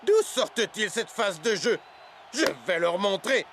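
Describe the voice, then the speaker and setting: High-pitched, menacing cartoon villain coach. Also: male voice, measured pace, background noise. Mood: indignant, defiant. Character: scheming male villain coach who hypnotises players. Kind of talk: French-dubbed cartoon acting.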